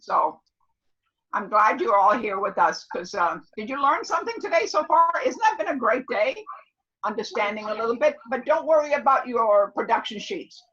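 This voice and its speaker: A woman's voice: fairly high pitch, sing-song, moderate pace, lo-fi sound. A woman of seventy talking casually to a class as their instructor, emphatic and motherly, often repeating herself to check she is understood. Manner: loud, clear talker; motherly pet names for listeners. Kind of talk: casual motivational class talk.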